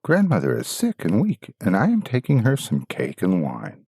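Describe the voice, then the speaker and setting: A trusting, innocent little storybook girl, played by a man reading aloud. The voice is pushed up high and swoops widely with earnest wonder. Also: wildly swooping pitch, moderate pace, dark tone, slightly rough, no echo, exaggerated voice.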